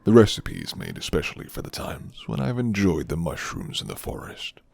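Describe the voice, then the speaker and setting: Man playing a very deep, gravelly, flirty werewolf. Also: huge pitch swings, dry recording, full character voice. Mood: soft, amused. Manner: slow, hushed, teasing. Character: flirty, gentle rugged male werewolf living alone in forest. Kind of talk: ASMR roleplay acting.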